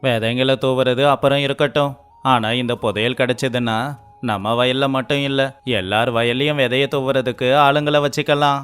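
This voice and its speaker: A man's voice: medium pitch, steady tone, moderate pace, a bit bright, clean tone, natural voice. A man voicing a clever, scheming village husband in a moral story, speaking persuasively and expressively. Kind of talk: acted moral story for children. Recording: faint music underneath.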